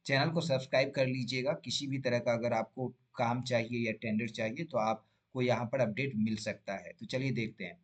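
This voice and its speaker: A man's voice: mid-range voice, steady tone, moderate pace, few pauses. A man with an Indian accent hosting an informal explainer, mixing Hindi with English terms and often adding short check-in tags. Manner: frequent check-in tags like "right?" and "okay?". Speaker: host of a tender explainer channel.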